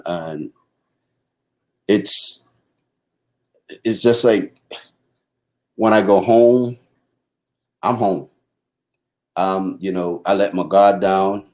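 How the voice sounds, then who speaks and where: A middle-aged man from the Bahamas with a Bahamian accent, talking casually about family and marriage, pausing and restarting often. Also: sits low, a little mellow. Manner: halting, many restarts and fillers. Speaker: husband co-host. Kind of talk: casual conversation.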